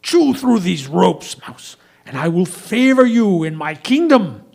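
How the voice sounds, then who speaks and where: A man's voice: high voice, big pitch swings, measured pace, vocal fry, a little mellow, pitched above the performer's own voice, heavily acted. A man voicing a mighty, proud lion king for a storytelling, bellowing imperiously and desperately. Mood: pleading, solemn.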